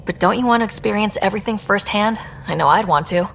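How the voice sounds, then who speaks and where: A woman's voice: medium pitch, quick pace, gravelly, fairly bright tone, a little hiss or hum. A woman playing a curious, friendly young space explorer who leads a crew in a video game. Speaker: expedition leader.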